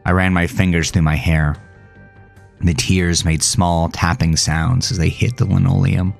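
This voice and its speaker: A man with a very low voice narrates a first-person horror story. His delivery is somber and dramatic, with deliberate phrasing and a heavy vocal fry. Music plays softly behind him. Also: little pitch movement.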